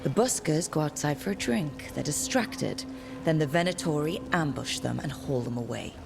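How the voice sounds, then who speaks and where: A woman's voice: sits low, expressive, normal speed, gravelly, music in the background. A woman voicing a cool, observant detective in a fantasy video game, thinking aloud in a terse, matter-of-fact way. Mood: focused, deducing.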